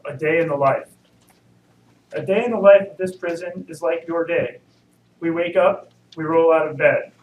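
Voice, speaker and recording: A man's voice: fairly high pitch, moderate pace, darkish tone. A man reading a poem aloud at a church service. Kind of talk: poetry reading. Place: church room, recorded from a distance. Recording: roomy, distant mic, faint noise.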